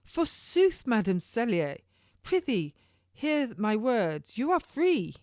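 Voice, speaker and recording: A woman's voice: on the high side, very animated, takes it slowly, a little mellow, no echo, clean studio sound, pitched above the performer's own voice. A woman voicing a young male prison turnkey in a historical audiobook, urgent and insistent, with emphatic, archaic formal speech. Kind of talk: audiobook character dialogue.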